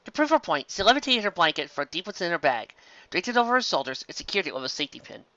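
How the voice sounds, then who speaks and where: A man reading a story aloud as an expressive amateur narrator, recorded in dull audio. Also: high voice, quick pace, no room sound.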